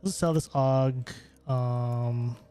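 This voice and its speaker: A young man from the Philippines talks to his stream chat in a mid-pitched voice, looping back and restarting his sentences as he answers questions. Faint music plays underneath him. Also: fairly even intonation, extremely slow, warm tone. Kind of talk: casual gameplay stream chat.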